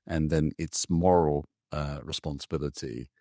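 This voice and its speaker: A man with an extremely deep voice hosts a podcast interview. He reflects and asks his questions with hesitant fillers, and the sound is dry and close. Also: steady tone, moderate pace, dark tone, no echo. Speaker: podcast host.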